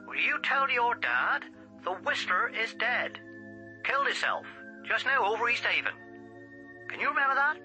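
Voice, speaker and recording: A very high, sharp-edged voice for a plain-spoken local man in a radio drama. He is kindly but insistent, coaxing a child over the phone, with music underneath. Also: very animated, moderate pace, pauses often, heavily acted.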